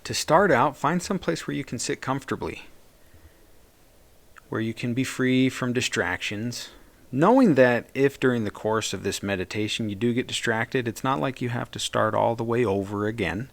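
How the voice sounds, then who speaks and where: A man leading a guided meditation as a therapist, speaking in a gentle, soothing and reassuring way. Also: medium pitch, normal speed, creaky.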